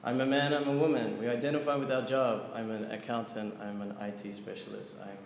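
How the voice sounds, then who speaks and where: A man's voice: mid-range voice, flat delivery, brisk, creaky, slight background noise. A man teaching a devotional scripture class to a live audience, explaining earnestly and putting questions to listeners. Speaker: lecturer on scripture. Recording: echoey hall with long reverb, faint ambience.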